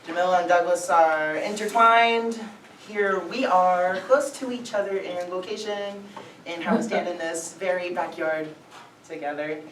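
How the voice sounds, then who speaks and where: A woman's voice: fairly low pitch, unhurried, a bit bright. Woman reading as an awkward guy, roomy distant sound. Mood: awkward, hesitant. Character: awkward, joking man at a backyard cookout. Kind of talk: staged play reading. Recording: roomy, distant mic, noisy hiss.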